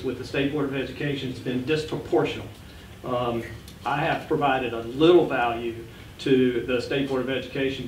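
Echoey, halting man of about sixty. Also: mid-range voice. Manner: halting, frequent self-corrections. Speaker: education board member presenting. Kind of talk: informal public presentation to a board meeting. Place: indoor meeting room. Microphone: standing back from podium gooseneck microphone. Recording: echoey room, distant mic, faint noise.

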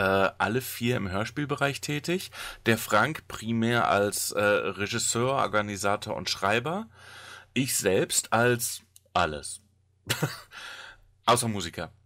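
A man's voice: fairly low pitch, bright, forceful tone. Wry man hosting a casual podcast. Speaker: podcast host. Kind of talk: casual chat.

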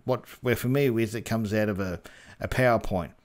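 A man's voice: lowish voice, brisk. An Australian man presenting an informal how-to tutorial in a laid-back, colloquial way. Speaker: presenter of a four-wheel-drive repair tutorial.

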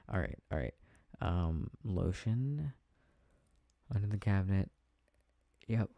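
A man playing an affectionate, tired boyfriend in an intimate ASMR roleplay, chatting softly and hesitantly with a playful, teasing manner. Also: sits low, lively intonation, takes it slowly, vocal fry, long pauses, no room sound, muffled recording, full character voice. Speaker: audio roleplay performer.

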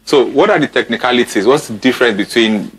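A man's voice: mid-range voice, quick pace, breathy edge. Nigerian man hosting a studio interview. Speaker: TV show host. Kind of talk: conversational live interview.

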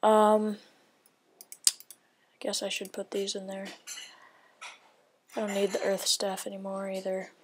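Slow, rambling woman muttering over gameplay. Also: medium pitch, completely flat delivery, a little hiss or hum. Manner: rambling, thinking aloud, often drops to a whisper. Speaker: gaming video creator. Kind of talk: casual gameplay commentary.

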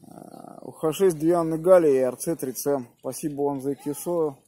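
A man's voice: a little high, fairly even intonation, measured pace, warm tone, breathy edge. A man in his thirties chatting as an amateur radio operator and spelling out call signs, recorded outdoors on a phone held at arm's length. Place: outdoors in a snowy park at evening. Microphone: phone camera at arm's length.

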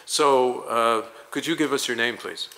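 A man interviewing a guest for a promotional video, asking polished, leading questions at a measured pace. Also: mid-range voice, sharp tone, low-quality recording.

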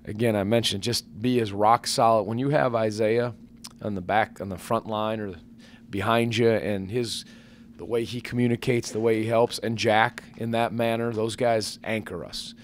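A man in his forties, a basketball head coach answering questions at a postgame press conference into a table microphone, measured and earnest with many fillers and restarts. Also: on the low side, fairly even intonation, a little hiss or hum. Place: press conference room with sponsor backdrop.

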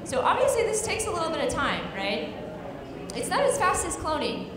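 A woman's voice: extremely high pitch, wildly swooping pitch, normal speed, very noisy. A woman giving a casual science talk to a live audience at a pub event, explaining step by step with lots of fillers, speaking into a handheld mic. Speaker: guest speaker. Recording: echoey hall, loud crowd chatter.

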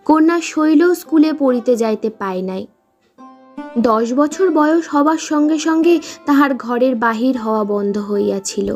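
High-voiced woman narrating a story. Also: a little mellow. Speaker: storyteller reading a short story aloud. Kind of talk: scripted literary narration.

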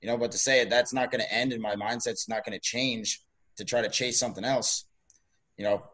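A man's voice: mid-range voice, steady tone, brisk, fairly bright tone. Upbeat, rambling man in his twenties. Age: 20s. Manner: upbeat, rambling, many fillers. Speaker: podcast co-host, college strength coach. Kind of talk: casual chat.

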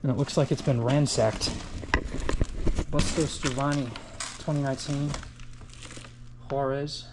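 A man's voice: fairly even intonation, a little slow, pauses often, background noise. Man muttering while exploring. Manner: low-key, muttering running commentary. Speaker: urban explorer. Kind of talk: walkthrough narration while exploring. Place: outdoors then inside a large empty building. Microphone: body-worn action camera.

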